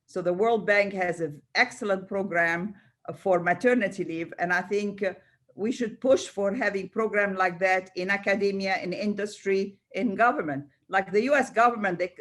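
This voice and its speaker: A woman's voice: mid-range voice, normal speed, fairly bright tone. An older woman from Egypt speaking spontaneously as a round table panellist, often repeating words and restarting. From Cairo, Egypt. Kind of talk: panel discussion, spontaneous speech.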